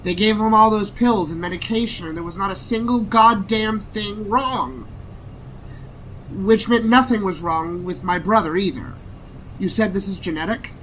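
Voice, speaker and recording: An actor playing a grieving young former combat medic in an audio drama, speaking in an emotional, confiding way. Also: male voice, high-pitched, normal speed, fairly bright tone, slight background noise, low-quality recording. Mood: bitter, upset.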